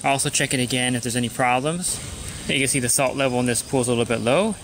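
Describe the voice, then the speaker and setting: Man, pool service technician, instructional voice-over, fast talker, matter-of-fact, faint outdoor ambience. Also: mid-range voice, fairly bright tone, slight background noise. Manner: plain, matter-of-fact explaining.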